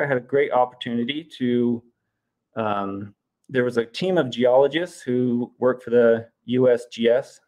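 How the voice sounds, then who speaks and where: A man in his thirties giving an informal debate presentation in a halting, explanatory way. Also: medium pitch. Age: 30s. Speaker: debater arguing for evolution. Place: indoors, on a video call.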